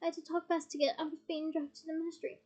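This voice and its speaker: A woman's voice: very high voice, little pitch movement, fast, darkish tone. A woman voicing an agitated, defensive teenage boy wizard who protests and explains himself in a story reading. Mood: explaining.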